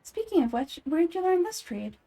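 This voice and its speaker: A woman voicing a gentle, thoughtful young girl in fan-made fantasy game dialogue. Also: extremely high pitch, big pitch swings, brisk, exaggerated voice. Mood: polite, friendly. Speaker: amateur voice actor.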